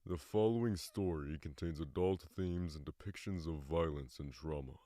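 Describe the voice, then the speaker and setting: A man's voice: extremely low pitch, normal speed, warm tone, no echo, clean studio sound. A man reading a scripted content warning as an announcer.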